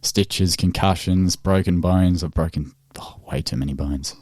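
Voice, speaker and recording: A man from Zimbabwe, an amateur boxer, chatting casually in an interview, relaxed and quick to laugh. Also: low-pitched, no room sound. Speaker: amateur boxer in charity fight.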